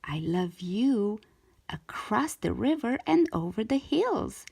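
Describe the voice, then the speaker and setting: Woman as a tender, very slow-talking big father hare. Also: fairly high pitch, very animated, gravelly, a little mellow, breathy edge, pitched above the performer's own voice, exaggerated voice. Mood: tender, playful. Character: big adult male hare, tender, patient parent. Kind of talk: children's storybook read-aloud.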